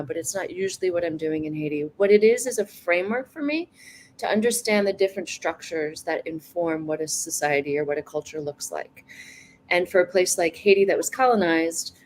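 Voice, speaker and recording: A woman in her forties, a guest in a casual online conversation, talking in a thoughtful, soft-spoken way and laughing easily. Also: on the low side, slight background noise. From United States.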